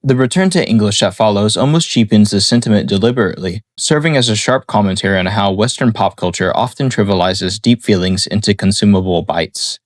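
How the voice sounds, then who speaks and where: A man reading a scripted analysis of a song in a measured, explanatory way. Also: fairly low pitch, dry recording, clean studio sound. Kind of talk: scripted narration.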